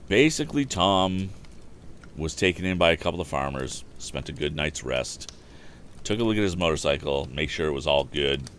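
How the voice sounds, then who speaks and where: A man's voice: extremely low pitch, lively intonation, moderate pace, fairly bright tone. A man hosting a storytime livestream, reading and chatting casually into a microphone. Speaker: livestream storytime host, reader. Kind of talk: animated book reading with casual asides. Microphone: streaming microphone. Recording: faint background noise on livestream.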